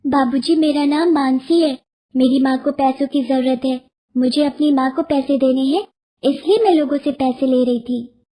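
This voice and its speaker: A woman voicing an innocent, eager little girl with pleading, earnest delivery in an animated moral story. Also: sits high, moderate pace, lightly acted.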